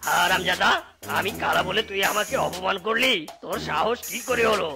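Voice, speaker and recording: A man playing a jealous, quick-tempered husband in a cartoon, creaky-voiced, blustering and threatening. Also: high-pitched. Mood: furious. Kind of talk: cartoon voice acting. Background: music.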